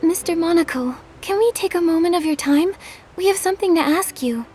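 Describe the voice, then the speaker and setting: A woman playing a polite, gentle, helpful young heroine in a video game. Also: very high-pitched, a little fast. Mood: friendly, helpful. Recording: music under the voice.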